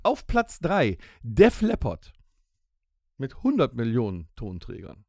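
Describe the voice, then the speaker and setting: A man's voice: mid-range voice, wildly swooping pitch, slow pace, a little mellow, no room sound, clean studio sound. A man chatting casually as a podcast host, reading out rankings with teasing suspense.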